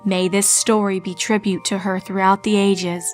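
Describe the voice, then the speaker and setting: A woman reading a fan story aloud in a steady, measured narration. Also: medium pitch, soft background music. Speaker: reader.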